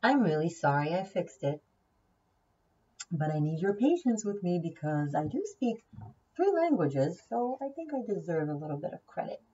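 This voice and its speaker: A woman's voice: on the low side, sing-song, normal speed, mellow, husky, recorded from a distance, muffled recording. A woman whose first language is Hebrew, giving a casual tarot reading, chatty and thinking aloud, often repeating key words. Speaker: tarot reader.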